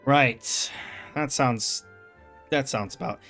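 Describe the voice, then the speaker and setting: A man's voice: medium pitch, slow pace, a bit bright. A man streaming a video game, reacting with profane, joking commentary. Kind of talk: casual live gameplay commentary. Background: game music.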